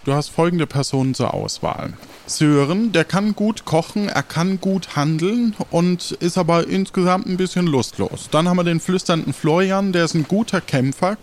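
A man narrating an improvised adventure game as game master, in a casual conversational way. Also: a little high.

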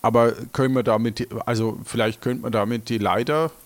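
A man leads an improvised pirate adventure in a casual, conversational way. His mid-pitched voice sits over some faint background noise. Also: steady tone, fairly quick. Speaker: game master and player in an improvised pirate adventure. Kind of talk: casual improvised role-play storytelling.

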